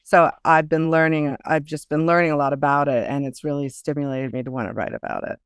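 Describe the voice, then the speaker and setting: Smooth-voiced woman, chatty author in an interview. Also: sits low, fairly quick, no room sound, clean studio sound. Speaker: guest author and ghostwriter. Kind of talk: casual conversational interview.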